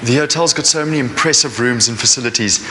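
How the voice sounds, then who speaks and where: A man's voice: mid-range voice, quick pace, pushed and bright, a little hiss or hum, rough recording. Upbeat male travel presenter. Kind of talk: presenting on location.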